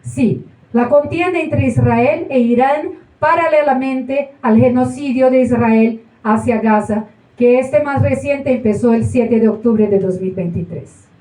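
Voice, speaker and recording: A woman's voice: fairly high pitch, a little slow, warm tone. A woman with a Colombian accent, a stage actress giving an ironic, theatrical live reading to an audience in a small theater. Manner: ironic storyteller, mocking diminutives. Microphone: far camera. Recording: roomy hall from a far camera.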